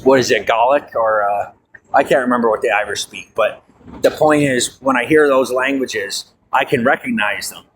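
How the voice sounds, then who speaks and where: A man's voice: mid-range voice, moderate pace, fairly bright tone, slight background noise, low-quality recording. An American man with a slight Norwegian-tinged accent, rambling casually with many fillers and restarts. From United States. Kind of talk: casual monologue.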